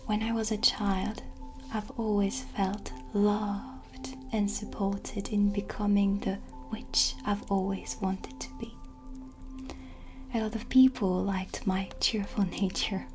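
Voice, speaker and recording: A gentle, wistful witch played by a woman whispers very softly and slowly in an ASMR fantasy roleplay. Her pitch stays fairly even, breathy and soothing, with music playing behind her. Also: natural voice. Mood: reflective, regretful. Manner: slow, soothing, unhurried.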